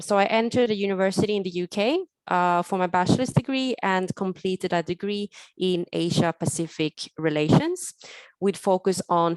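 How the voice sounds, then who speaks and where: A young woman with a lowish voice gives a guest talk for an online student event. She thinks aloud as she goes, filling pauses with ums and restarting phrases. The sound is dry and close, with no room around it. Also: smooth, no room sound, low-quality recording. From Sweden. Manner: hesitant, many ums and restarts. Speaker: guest speaker.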